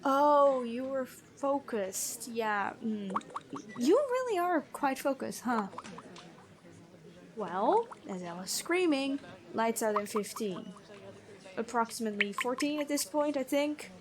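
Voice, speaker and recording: A woman playing a gentle but stern, bookish female prison guard in a fantasy ASMR roleplay, chatty and inquisitive. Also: sits high, big pitch swings, slow, noisy background, exaggerated voice. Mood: stern, considerate. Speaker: ASMR voice actor.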